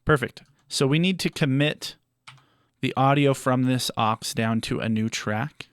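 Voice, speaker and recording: A man presenting a step-by-step audio software tutorial in a measured, explanatory way. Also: mid-range voice, clear, resonant tone.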